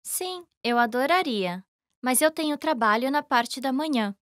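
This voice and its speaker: A woman reading scripted lesson dialogue in a careful, even way. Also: sits high, a little slow, no room sound, clean studio sound. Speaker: language lesson voice actor.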